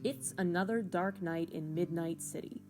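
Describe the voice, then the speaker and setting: A woman's voice: fairly low pitch, moderate pace, over music. A woman reading scripted second-person story narration in a mock hard-boiled style, with wry asides.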